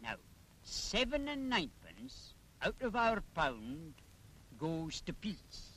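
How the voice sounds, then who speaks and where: Lilting, high-voiced Scottish explainer. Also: male voice, huge pitch swings, slow pace, fairly bright tone, slight background noise, heavily acted. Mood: patiently explaining. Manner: didactic, emphatic, theatrical. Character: knowing, lecturing Scottish man explaining taxes simply. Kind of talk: 1940s public information film acting. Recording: 1940s film soundtrack.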